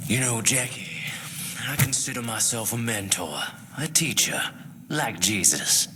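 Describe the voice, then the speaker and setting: A man's voice: medium pitch, big pitch swings, measured pace, sharp tone, a little hiss or hum. A man playing a menacing, smugly self-important drug dealer in a video game cutscene, with a pompous, preachy manner. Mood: smug preaching.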